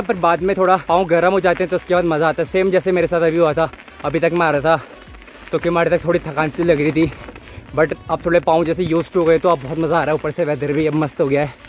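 A man's voice: fairly high pitch, steady tone, quick pace, soft background music. A young man, vlogging as he cycles outdoors on mountain roads, chatting to viewers and giving tips, mixing in English words. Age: young adult. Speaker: cycling vlogger. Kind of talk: casual vlog narration. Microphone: phone or action camera at arm's length.

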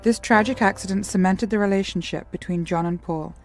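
Woman narrator, documentary read, mid pitch, faint music under. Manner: measured, even storytelling.

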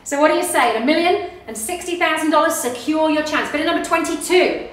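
A woman in her thirties with an Australian accent, working as an auctioneer and calling bids live with brisk, upbeat sales patter. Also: high voice, distant mic. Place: small office studio in front of a backdrop. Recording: echoey room, voice heard from off the mic.

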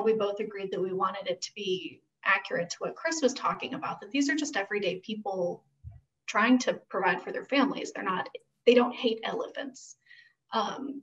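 A woman's voice: mid-range voice, vocal fry, distant mic, low-quality recording. A young woman explaining her work conversationally as a guest on a video call. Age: young adult. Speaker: guest, costume designer.

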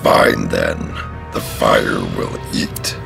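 Slow, gravelly, ultra-deep supervillain. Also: male voice, animated, heavily acted. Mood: menacing. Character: menacing male supervillain hired gun with a fire weapon. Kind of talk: superhero audio drama acting. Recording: loud music behind the voice.

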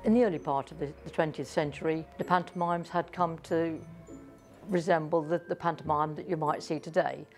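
Woman explaining history in an interview, really low voice, soft music behind. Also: fairly even intonation, fairly quick.

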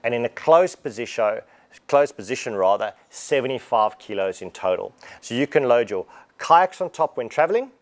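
Guy, 30s, relaxed explainer for a gear walkthrough in a warehouse. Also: medium pitch, normal speed. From Australia. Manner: casual, explanatory, lively. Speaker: product presenter. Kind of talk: product walkthrough demo. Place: large warehouse showroom indoors.

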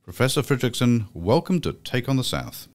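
A middle-aged man hosting an interview podcast in a small office room, speaking in a measured, formal way into a studio mic. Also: medium pitch, big pitch swings. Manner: measured, formal delivery with fillers in chat. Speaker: podcast host. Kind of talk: scripted intro then interview. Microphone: studio mic with pop filter.

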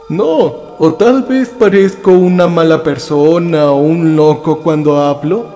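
A man voicing an elderly professor of ninety-two, cold and persuasive, speaking slowly. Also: a little high, mellow.

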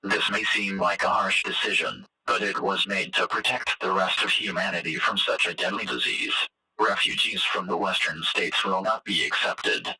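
A cold, solemn government announcer, played by a man, delivers a scripted emergency broadcast. The voice is low, husky and very harsh, filtered like distant radio. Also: moderate pace, bright, forceful tone, exaggerated voice. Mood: grim, formal. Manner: formal, solemn.

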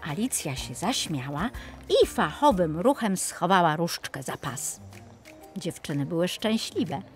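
A woman narrating a scripted fairy tale for children in an expressive storytelling style. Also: lowish voice, unhurried. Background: music.

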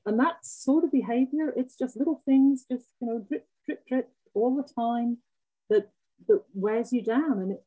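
A middle-aged woman speaking reflectively on a webcam panel, pausing and restarting her sentences. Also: mid-range voice, mellow, low-quality recording. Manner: reflective, halting with repeated restarts. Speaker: panelist geologist. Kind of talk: panel discussion on a video call. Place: home room with bookshelves. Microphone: video call webcam.

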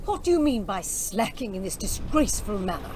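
A woman's voice: mid-range voice, sing-song, a little fast, background noise, lightly acted. An actress playing a stern, bullying woman commandant in an audio drama, clipped and commanding. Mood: stern, scornful.

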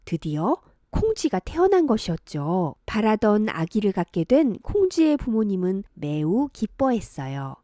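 A woman telling stories to young children as a teacher, with gentle, sing-song, expressive narration. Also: fairly high pitch, no echo, studio quality.

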